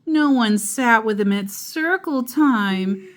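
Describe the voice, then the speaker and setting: A woman reading a children's picture book aloud in an expressive, playful way, with chatty asides. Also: a little high, takes it slowly. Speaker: storytime host and narrator.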